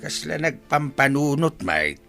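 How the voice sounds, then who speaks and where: A man acting an animated, fretful old village father. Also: fairly high pitch, a little slow, gravelly, soft background music, full character voice. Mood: fretful, advising. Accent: northern Philippine. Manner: scolding, advising. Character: elderly village father, fretful, given to admonishing. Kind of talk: Filipino comedy radio drama acting.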